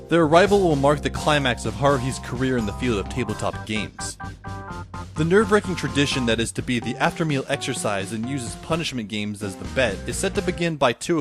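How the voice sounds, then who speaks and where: A man playing a wry high school boy who narrates an audio drama in the first person, musing as he goes. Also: natural voice.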